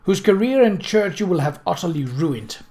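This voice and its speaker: A proud, bitter woman from a storybook, put on by a male reader. She is indignant and full of rage, exclaiming her words in a somewhat high voice. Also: sing-song, normal speed, a little mellow. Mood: maddened anger.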